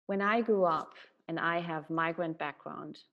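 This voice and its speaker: A woman's voice: low-pitched, a little slow, highs cut off. A woman from Germany giving an earnest, explanatory talk on bias and inclusion. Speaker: speaker on diversity and inclusion.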